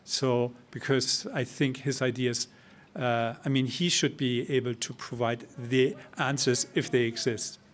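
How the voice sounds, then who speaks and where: A middle-aged man giving an academic lecture, speaking in a measured, emphatic way with pauses, then answering audience questions. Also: mid-range voice, fairly even intonation. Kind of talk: academic talk and Q&A. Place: lecture hall.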